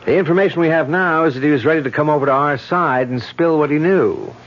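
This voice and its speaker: Actor as confident detective husband, muffled vintage radio. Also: male voice, fairly high pitch, fast talker, lightly acted. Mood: businesslike, wry. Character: confident, affectionate police detective husband, wry. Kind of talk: 1970s radio drama acting. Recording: dull vintage radio broadcast.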